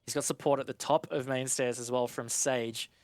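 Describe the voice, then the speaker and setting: A man's voice: mid-range voice, fairly even intonation, moderate pace, no room sound. A man giving analytical esports commentary in a conversational, explanatory way. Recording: noisy game gunfire and clicks behind.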